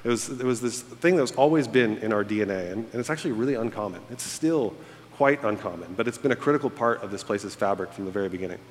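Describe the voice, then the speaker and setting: A man's voice: fairly low pitch, expressive, quick pace. A man in his thirties, born in Egypt and raised in America, giving a candid, self-deprecating farewell talk to a congregation. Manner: candid, self-deprecating storytelling with frequent fillers. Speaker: church staff member giving a farewell speech. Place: church auditorium on stage. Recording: long reverb of a large hall. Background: audience laughter.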